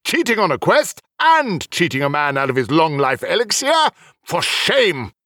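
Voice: medium pitch, very animated, moderate pace, a bit bright, dry recording, studio quality, pitched above the performer's own voice. A narrator voicing a stern, officious male celestial official with a formal, admonishing manner, in a fantasy audiobook. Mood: stern reproach.

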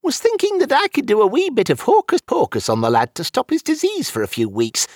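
Narrator doing old wizard guy from a fantasy audiobook, high voice, folksy, argues a lot but hopeful, really swoopy. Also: wildly swooping pitch, fairly quick, no room sound, studio quality, heavily acted. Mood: earnest. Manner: folksy dialect phrasing. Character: elderly male wizard, sheepish, hopeful, quibbling, folksy.

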